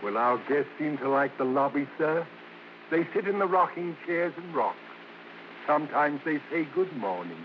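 An actor playing a stiff, very polite hotel desk clerk in a 1950s radio drama, formal, deferential and deadpan. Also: male voice, on the high side, normal speed, lightly acted. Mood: solemn, polite. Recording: dull vintage radio recording, faint noise.

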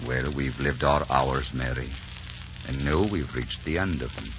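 Old radio romance: deep, theatrical nobleman lover, ardent, solemn, resolute, on a dull period recording. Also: a little slow, noisy background. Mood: firm, sorrowful. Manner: theatrical, tender, solemn phrasing. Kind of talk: 1940s radio romantic drama acting. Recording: muffled 1940s radio recording with music.

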